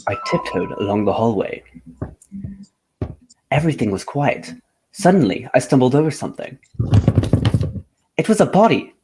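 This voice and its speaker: A man plays a gentleman detective in a radio drama. He has a mid-range male voice and speaks with polite formality. His delivery is composed and measured, as if laying out a case. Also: medium pitch, very animated, noisy background, natural voice. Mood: earnest recounting.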